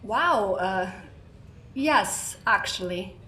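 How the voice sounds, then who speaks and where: A woman playing a hesitant, polite newcomer in an audio drama, speaking haltingly. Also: mid-range voice, expressive, bright, forceful tone, reverberant, a little hiss or hum, full character voice. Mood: awkward, tentative. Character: hesitant, polite woman newly joining a prison knitting circle.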